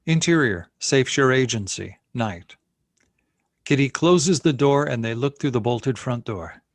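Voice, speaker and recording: A man's voice: medium pitch, no echo, studio quality. A man reading scene headings and stage directions as narrator at a screenplay table read.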